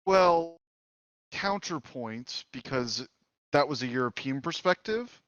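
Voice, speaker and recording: A man's voice: mid-range voice, lively intonation, slow pace, fairly bright tone, dry recording, dull audio. A man co-hosting a casual podcast, laying out a counterargument in a lecturing way. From United States. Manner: argumentative, lecturing. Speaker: co-host. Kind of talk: casual panel discussion.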